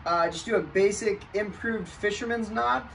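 A man's voice: high-pitched, moderate pace, vocal fry, a bit bright, slight background noise, low-quality recording. A man in his thirties giving a casual step-by-step how-to demonstration outdoors on a porch, talking while he works with his hands, picked up by a camera mic a few feet away. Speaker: kayak fishing channel host.

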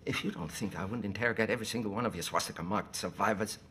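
A low-voiced German officer, acted by a man for a war film. He is urbane and smug, turning precise, courteous phrases into threats. Slight noise sits behind the dialogue. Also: rapid-fire, a bit bright, lightly acted. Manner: polite, theatrical, precise, savouring phrases. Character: urbane, smug, menacing Nazi officer negotiating coolly.

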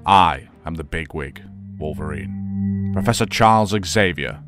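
An actor playing a calm, authoritative professor and mentor in an audio drama, speaking in a measured, formal way. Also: male voice, rumbling low, over music. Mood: measured, persuasive.

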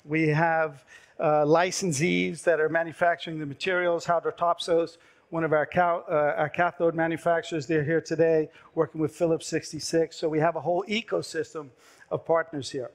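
Man, 50s, somewhat high voice, hoarse, brisk persuasive pitch, headset mic, conference stage. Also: little pitch movement. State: losing his voice. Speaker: startup pitch presenter. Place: large dark conference stage.

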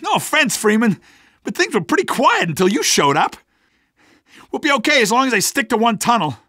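A man playing an excitable, blunt, wisecracking resistance fighter in a video game. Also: very high voice, a little fast, no room sound, studio quality, exaggerated voice. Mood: uneasy, urgent. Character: jumpy, wisecracking male resistance fighter defending a tunnel. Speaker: video game minor character.

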